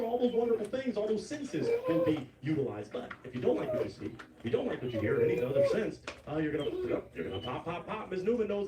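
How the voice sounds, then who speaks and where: A man hosting a dating show in a lively, playful way. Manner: animated, playful, big pitch swings. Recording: roomy, distant mic with noise.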